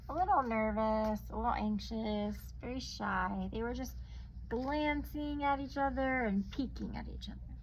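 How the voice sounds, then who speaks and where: A woman reading a children's story aloud like a teacher, expressively drawing out words and adding hushed, chatty asides. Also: fairly high pitch, rough recording. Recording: noticeable background noise.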